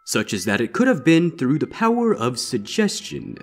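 A man voicing a theatrical, ominous pony stage magician with deliberate showman's patter in a storybook reading. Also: medium pitch, sing-song, voice pushed up. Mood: explaining, ominous. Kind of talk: character voice in audiobook-style fan fiction reading.

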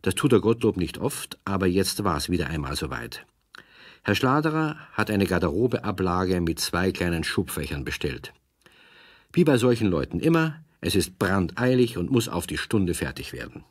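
Deep, husky storyteller. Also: male voice, sing-song, moderate pace, no room sound, studio quality, exaggerated voice. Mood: wry storytelling. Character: wry male storyteller. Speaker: narrator. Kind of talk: storytelling narration for children's audio drama.

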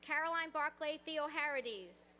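A woman formally reading out graduates' names one by one at an outdoor commencement, in a measured announcing manner through a podium microphone. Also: high-pitched, animated, pushed and bright. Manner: measured, announcing each name evenly. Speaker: commencement name reader. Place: outdoor stage at a college ceremony.

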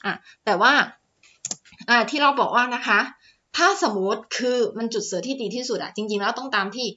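A woman's voice: a little high, pushed and bright, highs cut off. A woman walking through stock charts as a teacher, chatty, with lots of fillers and polite particles. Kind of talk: screen-share chart walkthrough. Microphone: voice-over on screen recording.

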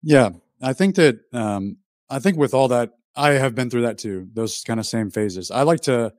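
Man in his thirties, medium pitch, dry recording, casual explainer, interview guest. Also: animated, normal speed, a little mellow, no echo. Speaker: fitness YouTuber, podcast guest. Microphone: microphone on a video call.

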